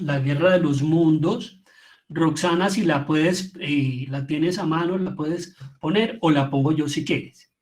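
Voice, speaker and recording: A man with a Colombian accent speaks in a notably high register for a male voice. He is middle-aged and lectures informally, explaining with emphasis and stacking phrases as he goes. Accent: Colombian Spanish. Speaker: lecturer, theatre and radio drama maker. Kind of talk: informal online lecture on audio fiction.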